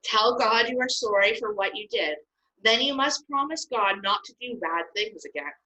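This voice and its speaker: An earnest Moses, pleading and stern by turns, as a woman reads him aloud. The voice sits high and bright with a lively rise and fall, like a storybook reading. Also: moderate pace, rough recording.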